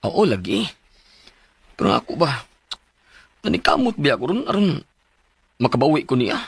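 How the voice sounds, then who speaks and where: An actor with a Cebuano Filipino accent playing an emotional, lovesick man in his thirties in a radio drama, with a husky voice. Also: mid-range voice, sing-song, moderate pace, no room sound, heavily acted. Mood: earnest. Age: 30s. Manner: emotional, pleading, swooping delivery. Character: Manuel, lovesick pleading man of thirty-two, repentant cheater. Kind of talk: Philippine radio drama acting.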